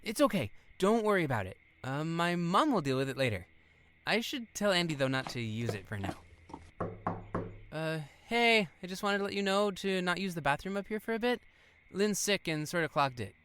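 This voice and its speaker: A kind young boy in a naturalistic audio drama. The voice sits slightly low for a female, casual and reassuring with small hesitations, over faint noise. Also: very animated, moderate pace.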